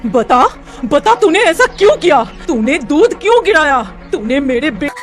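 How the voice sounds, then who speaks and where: A harsh, quick-tempered aunt, played by a woman, scolds in a high, shrill voice. She is bossy and commanding, in children's story style over faint music. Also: sing-song. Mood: furious.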